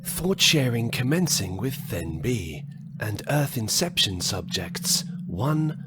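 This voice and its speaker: A man's voice: mid-range voice, very animated, slow pace, noisy background. A man playing an alien artificial intelligence in a sci-fi audio drama, with a formal announcing manner. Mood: measured, informing.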